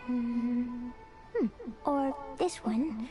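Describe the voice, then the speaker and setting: A woman's voice: a little high, very slow. A woman voicing a cheerful, curious little girl who talks in an innocent, childlike way, over game music.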